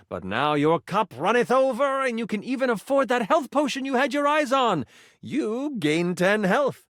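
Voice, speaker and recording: Animated, high-pitched game master die. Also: male voice, dry recording, clean studio sound. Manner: expressive, playful, shifting into grand storyteller phrasing. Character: excitable, earnest twenty-sided die come to life, eager game master. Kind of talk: theatrical fantasy game narration.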